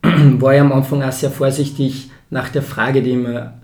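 A man's voice: mid-range voice, flat delivery, normal speed, vocal fry, darkish tone. A young man, a social worker chatting as a podcast guest in reflective, winding sentences full of fillers. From born in Israel, raised in Austria. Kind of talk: casual conversation. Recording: roomy echo.

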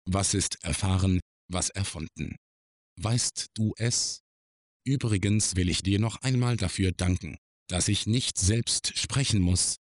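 A man performing a reflective middle-aged man's literary radio-play monologue, philosophical and measured. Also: lowish voice, no room sound, clean studio sound, close to an everyday voice. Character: reflective middle-aged man musing on his own life.

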